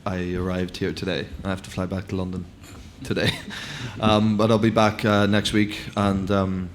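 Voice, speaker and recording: A lead actor answering a press question in a relaxed, unhurried way with fillers. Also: male voice, low voice, slight background noise. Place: press conference hall.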